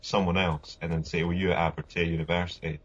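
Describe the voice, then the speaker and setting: A man's voice: sits low, little pitch movement, quick pace, breathy edge, highs cut off. A young man with a voice that sounds older than his age, joking and bantering in casual gaming commentary chat. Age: young adult. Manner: joking, banters and winds others up. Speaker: co-host.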